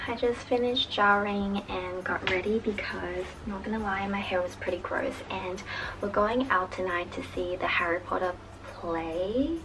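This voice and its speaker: A woman's voice: mid-range voice, measured pace, over music, rough recording. A woman, chatting casually to camera as a vlogger at home. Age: 20s. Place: indoors at home, living room or bedroom. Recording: noticeable background noise.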